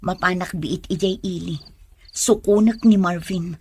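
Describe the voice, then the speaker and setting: A woman's voice: fairly low pitch, unhurried, warm tone, slight background noise. A woman playing a devoted, stubborn young woman in love, in a radio drama. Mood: anxious. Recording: music under the voice.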